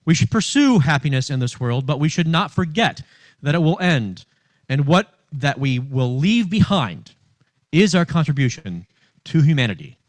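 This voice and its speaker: A man giving a sermon as a minister, reading from notes in measured phrases with emphasis on key words. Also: fairly high pitch, big pitch swings, no room sound. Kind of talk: sermon read from notes, with long quotations.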